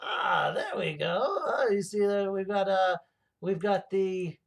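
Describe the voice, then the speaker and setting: A man playing a theatrical mad villain hosting a comedy livestream, rambling and gloating with halting, melodramatic delivery and a creaky voice. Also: sits high, animated, exaggerated voice. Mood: rambling, playful. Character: theatrical male mad villain host plotting laser revenge. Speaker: livestream show host.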